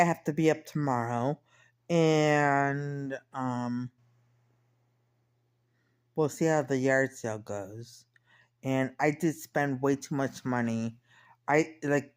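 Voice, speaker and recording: A woman's voice: low voice, clear, resonant tone. A woman in her fifties chatting casually in a rambling personal diary style, often repeating and correcting herself. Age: 50s. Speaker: personal vlogger.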